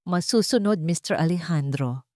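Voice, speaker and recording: A woman voicing a sharp male lawyer in a story, businesslike and deferential to his client. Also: fairly low pitch, expressive, normal speed, no echo, studio quality, natural voice. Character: smooth, sharp, obedient male defence lawyer.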